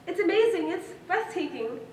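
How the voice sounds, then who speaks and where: A woman's voice: very high-pitched, lively intonation, normal speed, darkish tone. A young woman on stage playing a self-assured, fussy girl who boasts in an emphatic, childlike, matter-of-fact way. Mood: gushing, boastful. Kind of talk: stage monologue in a one-act play. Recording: roomy, distant stage recording with noise.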